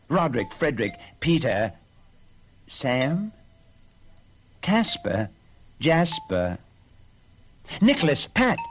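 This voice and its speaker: A man voicing a poor young woman turned queen, frightened and tearful, in a high pleading voice. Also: slight background noise, exaggerated voice. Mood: guessing frantically.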